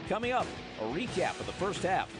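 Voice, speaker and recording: Lively high-voiced male announcer over loud music. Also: fairly quick. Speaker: radio network announcer. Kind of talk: scripted sports broadcast bumper. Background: loud guitar music.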